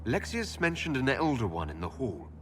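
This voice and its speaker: An actor playing a serious, questioning fantasy hero in a video game, speaking in an earnest, direct way. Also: male voice, medium pitch, wildly swooping pitch, a little fast, a bit bright, a little hiss or hum. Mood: concerned. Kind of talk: video game character acting.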